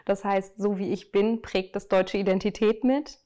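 A woman's voice: mid-range voice, steady tone, moderate pace, mellow. A young woman from Germany answers interview questions. She talks in an earnest, reflective way, often stopping on small fillers while she finds her words. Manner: thoughtful, many fillers, earnest. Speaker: interview guest.

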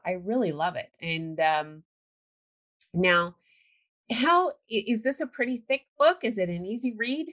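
Enthusiastic American woman host, low-pitched. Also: a bit bright. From California. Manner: chatty, enthusiastic, laughs often. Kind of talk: casual interview chat.

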